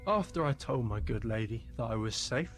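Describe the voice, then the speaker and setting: A man's voice: mid-range voice, animated, normal speed, faint music behind, lightly acted. A man playing a sneering, spiteful office colleague with a blunt, taunting manner in a radio play. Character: sneering, spiteful male colleague turning indignant.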